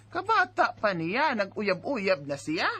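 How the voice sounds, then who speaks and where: Scolding, worried mother, mid-pitched. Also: huge pitch swings, takes it slowly, bright, forceful tone, breathy edge, no room sound, heavily acted. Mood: indignant, scolding. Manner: scolding, indignant exclamations. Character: strict, worried middle-aged mother, scolding and indignant. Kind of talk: Bisaya radio drama acting.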